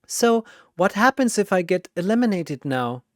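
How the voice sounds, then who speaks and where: A narrator voicing a gentle, brave, sad little girl with a soft, steady manner in an audio story. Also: medium pitch, moderate pace, no echo, clean studio sound, voice pushed up, lightly acted. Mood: gentle resolve.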